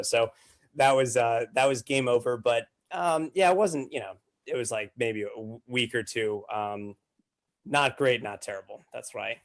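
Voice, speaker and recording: A man in his twenties from Massachusetts with an American accent, chatting and telling stories in an informal, slangy way. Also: mid-range voice. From Massachusetts, United States. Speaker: podcast guest. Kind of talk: casual conversational storytelling.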